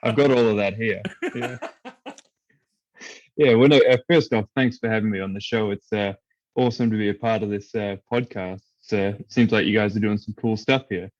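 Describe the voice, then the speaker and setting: A man's voice: fairly low pitch, steady tone, moderate pace, no room sound, highs cut off. A man with an Australian accent, a guest on a podcast, chatting in an easygoing way with lots of fillers. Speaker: guest, band company manager. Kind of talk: casual podcast chat.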